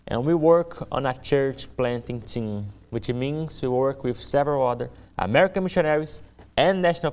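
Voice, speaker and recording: A young man with a Brazilian accent and Portuguese as his first language presents his missionary work to a church. His voice is smooth and clean at a middle pitch. He speaks deliberately, restating key phrases for emphasis. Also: a little mellow. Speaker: missionary giving a ministry presentation. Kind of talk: church testimony speech to a congregation.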